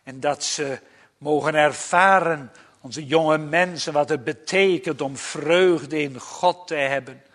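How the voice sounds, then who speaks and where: A male pastor leads a congregation in solemn prayer. His voice sits a little high for a man and moves very slowly. He sounds earnest and pleading, setting out each measured phrase with pauses. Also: a bit bright. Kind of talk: solemn extemporaneous church prayer.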